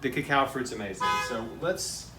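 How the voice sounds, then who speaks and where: Male host, American, informal and enthusiastic, mid pitch, roomy echoing sound, conversational fruit-tasting delivery. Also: expressive, moderate pace, music in the background. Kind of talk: casual fruit-tasting chat on camera. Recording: echoey room, distant mic, faint noise.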